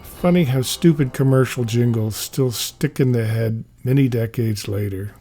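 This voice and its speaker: A man's voice: medium pitch, normal speed, dark tone, faint music behind. A man giving a scripted narration, reading a memoir-style comic aloud.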